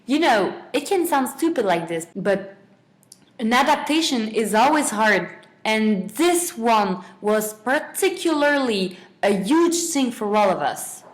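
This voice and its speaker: A woman's voice: a little high, lively intonation, a little slow. A young adult woman, a student guest giving semi-prepared answers in a radio interview.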